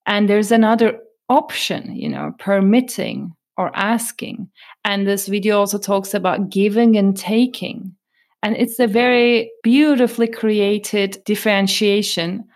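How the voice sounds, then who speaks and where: Woman from Turkey, podcast co-host, calm considered delivery. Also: medium pitch, a little slow. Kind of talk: conversational podcast talk.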